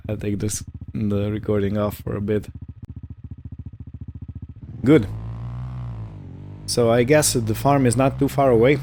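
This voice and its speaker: Slow, mellow low-voiced man narrating gameplay. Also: a little hiss or hum. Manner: musing aloud, narrating own actions. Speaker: gaming video host. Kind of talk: casual roleplay gameplay commentary.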